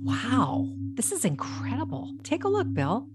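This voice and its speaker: A woman's voice: fairly high pitch, wildly swooping pitch, a little slow, loud music behind the voice. A woman playing a dedicated, earnest American government agent in a radio drama. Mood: intrigued. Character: serious female government agent, dedicated investigator.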